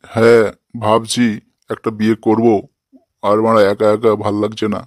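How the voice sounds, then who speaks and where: A man's voice: lowish voice, completely flat delivery, normal speed, no room sound, natural voice. A man playing a grumpy, lonely old grandpa in a comic cartoon, complaining and swearing coarsely. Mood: weary, complaining.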